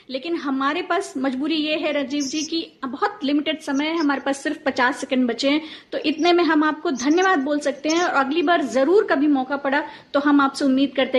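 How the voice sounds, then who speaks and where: A woman's voice: high voice, normal speed, hardly any pauses. A woman hosting an interview in a polite, conversational style. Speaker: interview host.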